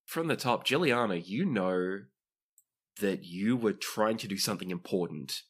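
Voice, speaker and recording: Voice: low voice, lively intonation, measured pace, breathy edge. A game master narrating a tabletop roleplaying podcast, switching between dramatic storytelling and dry joking.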